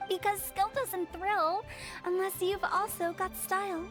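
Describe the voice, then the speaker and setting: A woman's voice: very high-pitched, fairly even intonation, measured pace, over music. A woman voicing a playful, theatrical young female character in a video game, with a showy, teasing, confident manner. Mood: confident, explaining. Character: playful, theatrical, cocky young female funeral director and poet.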